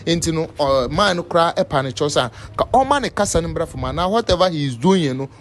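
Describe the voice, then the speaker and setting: A man's voice: a little high, lively intonation, brisk. A man with a Ghanaian accent presenting informal news commentary, switching between a local language and English. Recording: faint background noise.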